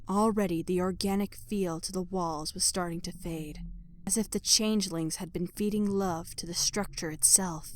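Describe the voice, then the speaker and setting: Female narrator, slightly low register, dramatic story reading with hushed phrase endings. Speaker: narrator of a fan fiction audio production. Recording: background music under voice. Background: music.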